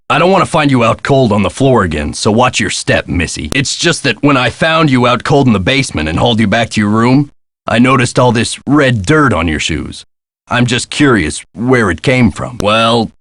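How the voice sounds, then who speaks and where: An actor playing a gruff, put-upon castle hotel caretaker in a video game, with a folksy, sardonic and exasperated way of talking. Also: male voice, medium pitch, fairly bright tone, no room sound, clean studio sound. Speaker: caretaker and front desk clerk. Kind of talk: scripted video game character lines.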